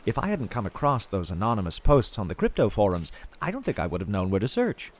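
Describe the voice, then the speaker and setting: A man's voice: fairly low pitch, sing-song, fast talker. A man playing an obsessive, chatty podcaster who confides in his listeners about his treasure-hunting expedition in an audio drama. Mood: intrigued, confiding. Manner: theatrical, confiding, addresses listeners directly. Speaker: podcast host in audio fiction. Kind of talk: audio fiction monologue as a podcast host.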